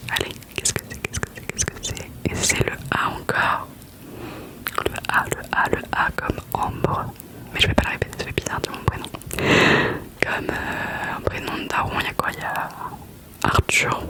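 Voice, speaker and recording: Young girl whispering asmr, soft and breathy, keeps repeating letters, chatty and joking. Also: moderate pace, low-quality recording. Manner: playful, chatty, self-mocking. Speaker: ASMR creator.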